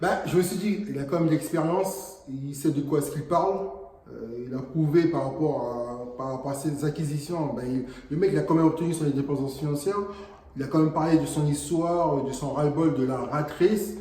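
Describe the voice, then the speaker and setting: A man's voice: a little high, brisk, mellow. Earnest man in an echoey room. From French Guiana. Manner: earnest, motivational, hesitant fillers. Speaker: interview guest, property investor. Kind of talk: casual interview.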